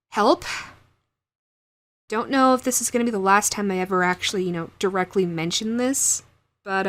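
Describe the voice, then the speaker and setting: A woman who hosts an audio roleplay channel, talking casually and directly to her viewers. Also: mid-range voice.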